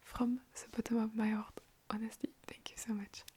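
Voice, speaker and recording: A young woman from France with a French accent, whispering softly and chattily as she answers viewer questions in an ASMR video from her bedroom, recorded on a phone. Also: a little high, flat delivery, normal speed, slight background noise. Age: young adult. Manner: soft unvoiced whisper, rambling and chatty. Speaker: ASMR creator.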